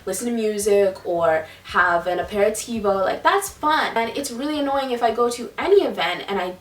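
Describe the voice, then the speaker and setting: A woman's voice: mid-range voice, normal speed, a bit bright. Young American woman, roomy distant sound. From United States, partly Jamaica in early childhood. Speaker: vlogger. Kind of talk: casual chatty Q&A to viewers. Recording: roomy, distant mic, faint music.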